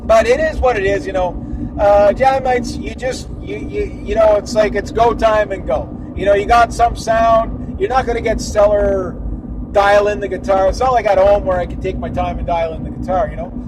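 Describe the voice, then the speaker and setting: A man's voice: sits high, fairly even intonation, normal speed. A man vlogging outdoors by the road at night, rambling casually with lots of fillers and restarts. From Canada. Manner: rambling, many fillers and restarts, chuckling asides. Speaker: vlogger and musician. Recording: clear road and vehicle noise behind.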